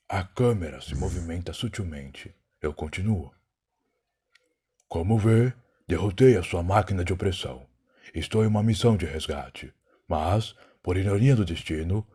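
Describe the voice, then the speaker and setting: Proud, weary time traveler played by a man, Brazilian accent, low husky voice, solemn dramatic narration for an audio drama. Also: a little mellow. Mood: defiant. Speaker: narrator and lead character. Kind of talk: dramatic first-person scripted narration.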